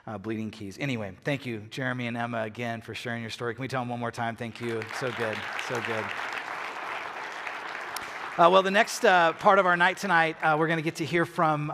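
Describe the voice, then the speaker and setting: A man pastor introduces the next speakers in a halting way, with many fillers. His voice sits at a middle pitch, with a faint crowd behind him. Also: sharp tone. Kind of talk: church announcements. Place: church stage.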